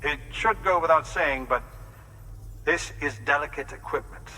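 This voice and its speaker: An actor playing a serious, precise doctor in a video game, speaking in a formal, explanatory manner. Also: male voice, fairly high pitch, moderate pace, bright, forceful tone, slight background noise. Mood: instructive, serious. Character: serious, precise male doctor briefing a courier. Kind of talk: scripted game dialogue.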